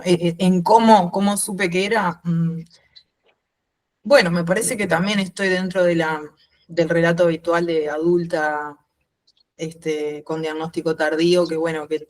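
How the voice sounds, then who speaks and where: A woman in her thirties with a mixed Uruguayan and Spanish accent, speaking reflectively and haltingly as a guest in an informal interview. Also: on the low side, steady tone. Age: 30s. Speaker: podcast guest. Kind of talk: informal interview, personal account.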